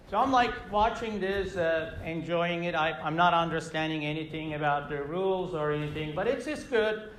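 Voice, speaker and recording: A man's voice: on the high side, fairly bright tone. Turkish accent guy telling a story live, lots of uh and stops, roomy echo, a little hiss. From Turkey. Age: middle-aged. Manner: halting, many fillers and restarts. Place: stage in a hall with a live audience.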